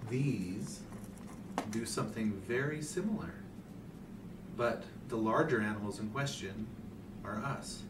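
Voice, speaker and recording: A man's voice: mid-range voice, measured pace, long pauses, lightly acted. A man playing a creepy, outwardly kindly dentist with unctuous, condescending persuasion in an audio story, recorded in a hotel room. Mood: reverent. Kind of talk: hobby audio story reading. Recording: roomy hotel room, distant, noisy hum.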